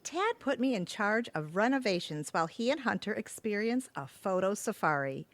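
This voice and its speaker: A woman's voice: mid-range voice, sing-song, moderate pace, no echo. A woman playing a gossipy, flirty manager in an amateur audio comedy. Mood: pleased, gossipy.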